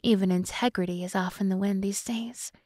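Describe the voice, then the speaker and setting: A woman voicing a seductive, scheming demon woman in an ASMR roleplay, often dropping to a breathy whisper as she slowly coaxes and condescends. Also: mid-range voice, fairly even intonation, warm tone, no room sound, studio quality, close to an everyday voice. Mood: cool, vengeful. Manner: slow, teasing, condescending toward humans. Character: seductive, scheming demon woman, coolly menacing yet coaxing. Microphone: close microphone, dry sound.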